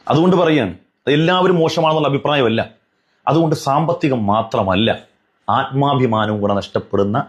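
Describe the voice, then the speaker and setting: A man's voice: medium pitch, lo-fi sound. A man giving an admonishing religious commentary, earnest and pleading, driving points home with repetition and rhetorical questions.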